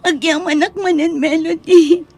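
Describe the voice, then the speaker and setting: Humble tearful mother, very high creaky voice, slow delivery, Ilocano radio drama. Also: steady tone, darkish tone, soft background music. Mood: tearful, grateful.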